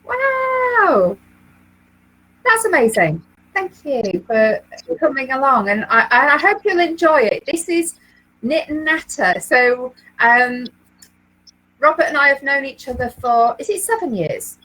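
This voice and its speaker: A woman's voice: high-pitched, very animated, measured pace, bright, forceful tone, rough recording. A woman from Leeds, co-hosting a knitting livestream from home, chatting casually with lots of ums and easy laughs. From England, Leeds area. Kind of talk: casual chat, reading viewer comments.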